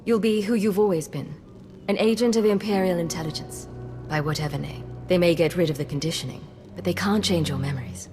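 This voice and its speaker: Game casting: woman playing ruthless imperial agent, controlled, insistent, lowish female voice with reverb tail and soft music. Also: brisk, lightly acted. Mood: urgent, earnest. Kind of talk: scripted video game acting.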